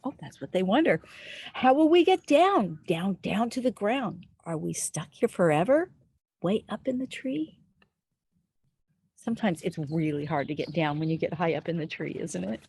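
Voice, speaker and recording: A woman reading a picture book aloud to young children outdoors, expressively, pausing to put questions to the listener. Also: fairly low pitch, expressive, darkish tone, slightly rough, no room sound. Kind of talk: animated read-aloud storytelling for young children. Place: outdoors in a backyard with trees.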